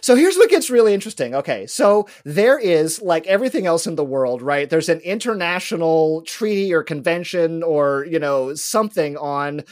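A man's voice: fairly high pitch, animated, moderate pace, few pauses, no room sound, clean studio sound. A man co-hosting a podcast, explaining trivia in a casual, rambling way with plenty of fillers. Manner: rambling, with many fillers and restarts; lecturing, explains in detail. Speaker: podcast co-host presenting a trivia segment.

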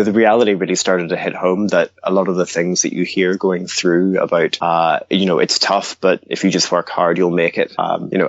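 Deep-voiced man, halting and thoughtful. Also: no echo, dull audio. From Northern Ireland. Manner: hesitant, many ums and restarts. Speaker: guest scientist. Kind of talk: conversational interview answers.

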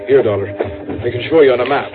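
Earnest gentleman played by an actor, tinny old radio. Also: medium pitch, fairly quick, over music, lightly acted. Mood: anxious. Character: earnest, anxious, formal gentleman twin brother. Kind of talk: 1950s radio drama acting.

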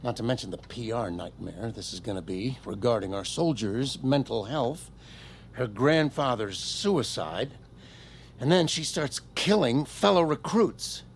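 An actor playing an impatient, sarcastic and commanding army corporal in an audio drama, with a clipped, threatening delivery. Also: male voice, medium pitch, animated, a little slow, gravelly, slight background noise. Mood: impatient, hushed pressure.

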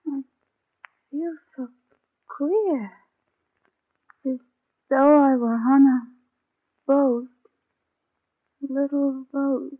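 A woman playing a sweet, soft, easily wounded young wife, tender and gushing, in an old radio drama. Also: sits high, steady tone, extremely slow, pauses often. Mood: drowsy. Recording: dull lo-fi old radio recording.

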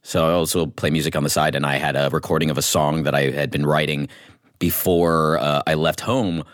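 A man's voice: a bass voice, steady tone, a little fast, no echo, clean studio sound. A man, a voice actor, talking effusively and informally in a casual panel chat.

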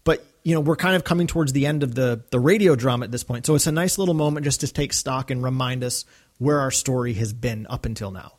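A man's voice: mid-range voice, fast talker. A man co-hosting a podcast in casual fan discussion, enthusiastic and rambling. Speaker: podcast co-host.

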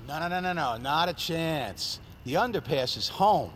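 A man's voice: a little high, expressive, moderate pace, fairly bright tone, slight background noise. An actor playing a weary homeless man in an audio drama, grumbling and colloquial, a loyal buddy. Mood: weary, grudging. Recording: street ambience with passing vehicles.

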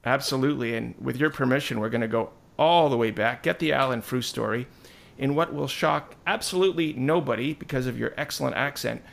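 A man's voice: medium pitch, fairly quick, gravelly. A man hosting a podcast interview, asking friendly prepared questions. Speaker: podcast host. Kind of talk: interview host.

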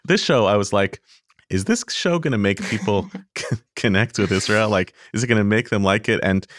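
A man's voice: on the low side, normal speed, a bit bright, dry recording, studio quality. A man hosting an interview, asking long thoughtful questions with frequent laughs and small stumbles. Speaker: interview host. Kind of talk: conversational interview.

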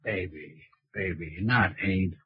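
Husky, cocky American swindler. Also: male voice, deep voice, slow pace, no room sound, heavily acted. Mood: cocky, coaxing. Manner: slangy, coaxing, calls his partner baby. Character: smooth-talking, cocky male swindler in a blackmail scheme. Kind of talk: 1940s American radio crime acting. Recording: muffled, dull vintage 1940s radio recording.

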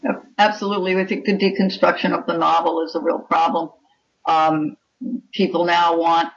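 A dull, lo-fi recording carries a woman author giving an interview. She talks reflectively about her craft, with an emphatic and opinionated manner. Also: low voice. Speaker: guest author. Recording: dull lo-fi call sound.

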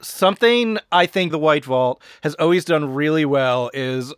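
A man's voice: a little high, measured pace, a bit bright, clean tone, dry recording, studio quality. A man hosting a podcast interview, talking conversationally and thoughtfully, often dropping "like" into his questions.

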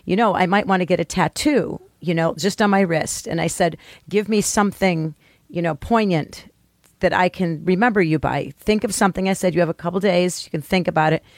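A woman hosting a podcast, telling stories in a lively way and quick to laugh. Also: fairly low pitch, no echo, clean studio sound. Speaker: podcast host. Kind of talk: casual conversation.

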